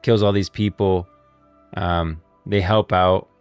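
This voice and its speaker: A man with a low voice talks casually on a livestream, thinking aloud in an informal, rambling way. The sound is dry and close, with music playing behind him. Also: fairly even intonation, takes it slowly, clean tone, no room sound. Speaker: streamer. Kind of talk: casual livestream lore commentary.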